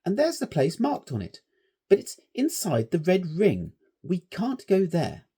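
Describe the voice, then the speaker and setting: A man's voice: high voice, wildly swooping pitch, measured pace, dark tone, husky, voice pushed up, heavily acted. A man voicing a cautious, resourceful schoolboy scout who speaks primly and carefully.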